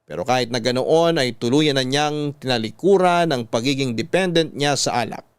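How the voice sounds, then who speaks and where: A man with a Filipino accent reading a scripted first-person story in a measured, dramatic storytelling manner. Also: medium pitch, smooth, no room sound. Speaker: radio drama narrator.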